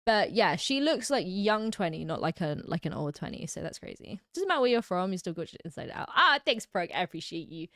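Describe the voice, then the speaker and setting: Lively, giggly British woman streamer. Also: lowish voice, fairly quick, hardly any pauses, no room sound, clean studio sound. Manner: giggly, chatty, reads comments aloud. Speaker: livestream host. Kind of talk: casual chat reacting to live comments.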